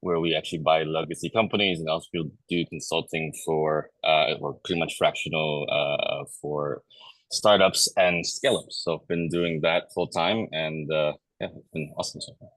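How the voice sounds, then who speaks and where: A man's voice: rumbling low, steady tone, normal speed, fairly bright tone, dry recording, low-quality recording. A man, a founder on a conference panel, talking eagerly with many "uh" fillers. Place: conference stage.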